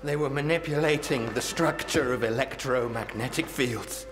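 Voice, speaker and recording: A man playing a wounded, frightened research scientist in a video game cutscene, halting and anguished. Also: mid-range voice, lightly acted. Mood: awed, explaining. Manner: halting, anguished, breaking into outbursts. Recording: echoey hall reverb, music behind.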